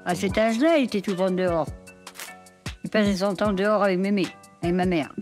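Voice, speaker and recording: A woman's voice: medium pitch, brisk, music in the background. A woman fondly looking through old photos of a family member, affectionate and amused. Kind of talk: fond reminiscing.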